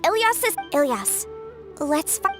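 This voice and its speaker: Protective young student for a game: very high voice, animated, casual, clear music behind. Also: female voice, a little slow. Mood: concerned, chatty. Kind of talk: video game voice acting.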